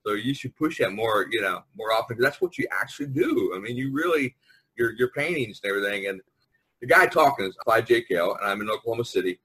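Bright, slightly husky American man hosting. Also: fairly low pitch, expressive, quick pace, poor audio. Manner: talkative, jokey, prodding the others to talk. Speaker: podcast host, visual artist. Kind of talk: casual video-call podcast chat. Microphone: online video conference call.

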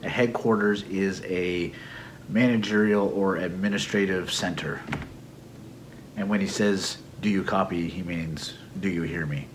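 A man's voice: low voice, unhurried, a little hiss or hum. A middle-aged man giving a casual, explanatory English idiom lesson with a teasing streak. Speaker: English teacher and video host. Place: small home room at a computer desk.

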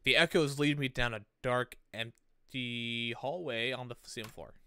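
A man's voice: mid-range voice, sharp tone, smooth. A man streaming a game, reading visual novel narration and dialogue aloud in a dramatic way. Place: indoor room at a streaming setup. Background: game music.

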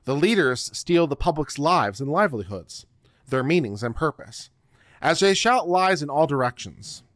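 A middle-aged man giving a theatrical, deliberate dramatic reading of a written text into a close microphone. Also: a little high, very animated, no room sound. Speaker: online show host. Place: small home studio room. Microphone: close microphone on boom arm.

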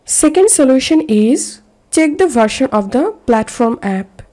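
Slow, mellow woman narrating a tutorial. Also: a little high. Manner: measured, step-by-step instructional delivery. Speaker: tutorial presenter. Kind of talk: scripted software tutorial narration. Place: voice-over for a screen recording.

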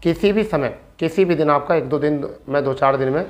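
A man's voice: on the high side, fairly quick. A man gives an informal explanatory update to camera, repeating his points for emphasis. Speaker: exam-update video presenter. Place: small plain room indoors. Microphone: clip-on lapel mic.

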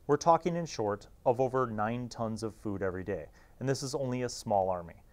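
Male host, forties, average pitch, casual commentary style, conversational with punchy asides, lapel-mic sound. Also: brisk, darkish tone. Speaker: host of a tabletop gaming review series. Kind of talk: casual review and commentary on a magazine.

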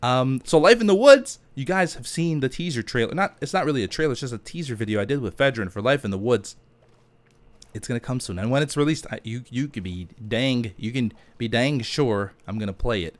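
A man's voice: mid-range voice, expressive, fairly quick. Rambling man chatting over gameplay. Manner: rambling, thinking aloud. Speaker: gaming YouTuber. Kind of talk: casual gameplay commentary with question-and-answer chat.

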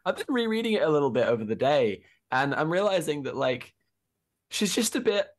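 A young woman with a hoarse voice, chatting as a guest on a video call in a wry, joking way. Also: low-pitched, very animated, fairly quick. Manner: wry, laughs at her own jokes. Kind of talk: casual chat on a video call.

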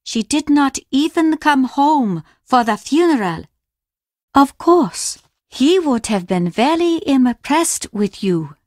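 A woman playing a grieving older Japanese innkeeper mother in a video game, reserved and stern, speaking in a measured, formal way. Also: sits high, very animated, a little mellow, dry recording, clean studio sound, full character voice. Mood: wistful. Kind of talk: video game character acting.

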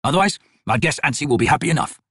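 Grizzled veteran commander, played by a male narrator, gruff husky growl, measured authority, fantasy audiobook. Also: on the high side, expressive, fairly bright tone, no echo, clean studio sound, voice pushed up.

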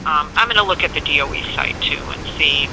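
An American government records officer explaining things informally and haltingly over a phone speaker, in a helpful way. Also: mid-range voice, gravelly. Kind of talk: casual explanatory phone conversation. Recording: noisy speakerphone call picked up outdoors.

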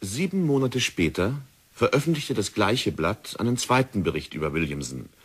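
Very deep, husky male narrator. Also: sing-song, moderate pace. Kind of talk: scripted radio drama narration.

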